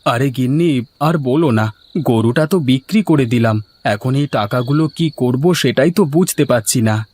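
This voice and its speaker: A man playing an excitable, cheerful village husband and food seller in a cartoon folk tale, with exclamatory, folksy speech. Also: mid-range voice, moderate pace, lightly acted. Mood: puzzled. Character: cheerful, excitable village husband turned rice-hotel owner.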